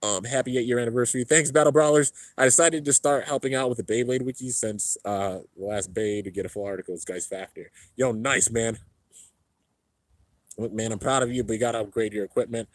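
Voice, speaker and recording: Young male streamer, relaxed rambling chat, slightly congested, dry close sound. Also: a little fast, no echo. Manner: laid-back, rambling, heavy on fillers. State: a little congested. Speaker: YouTube host reading live chat. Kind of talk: casual livestream chat. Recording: low-end home stream setup.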